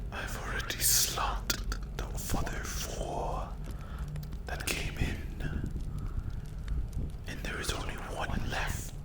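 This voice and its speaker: A performer voicing a menacing, sadistic demon in a breathy, gravelly whisper, taunting theatrically in a dark fantasy ASMR roleplay. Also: natural voice. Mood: menacing, mocking. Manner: slow, drawn-out, taunting, theatrical. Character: menacing sadistic demon guarding its unholy lair.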